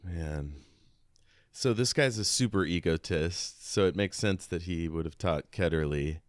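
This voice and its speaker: A man with a low voice talks slowly in a casual book discussion. He is a podcast co-host who muses over his notes and poses questions, and the sound is very dry and close. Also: clean tone, no echo.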